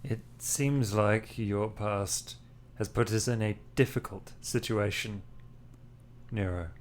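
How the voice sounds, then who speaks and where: A man playing a grave priest among monster hunters in a tabletop game, speaking in deliberate, formal, halting phrases. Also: fairly low pitch, slight background noise.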